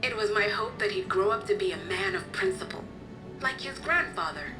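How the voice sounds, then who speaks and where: An actress playing a kindly, motherly guardian in a video game cutscene, explaining things in a gentle storytelling way. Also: mid-range voice, big pitch swings, moderate pace, over music, close to an everyday voice, low-quality recording. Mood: earnest, explaining. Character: kindly motherly woman, caring guardian of an orphaned boy.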